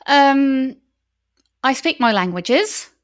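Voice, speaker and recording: Enthusiastic Dutch-accented woman, high voice. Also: lively intonation, extremely slow. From Netherlands. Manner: open and enthusiastic, talks with her hands. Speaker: wedding celebrant. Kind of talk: interview guest.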